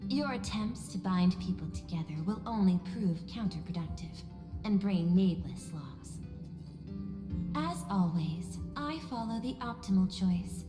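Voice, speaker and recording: A woman playing a coolly logical female machine steward in a video game, speaking formally and precisely with measured detachment. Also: mid-range voice, natural voice. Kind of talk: scripted video game voice acting. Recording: echoey hall reverb, music behind.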